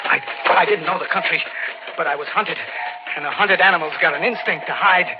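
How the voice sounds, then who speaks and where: An American actor playing a desperate hunted drifter turned killer in a 1940s radio thriller, slangy and intense. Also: male voice, fairly high pitch, brisk, loud music behind the voice, natural voice. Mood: frantic. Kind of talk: 1940s radio thriller acting, first-person narration. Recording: dull, very noisy old radio recording.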